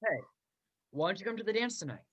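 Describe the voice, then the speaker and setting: A man's voice: high voice, very animated, fast, a bit bright, exaggerated voice. A man playing a carefree teenage younger brother in a radio play, over a dull video-call microphone. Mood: breezy. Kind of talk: 1940s-style radio play acting.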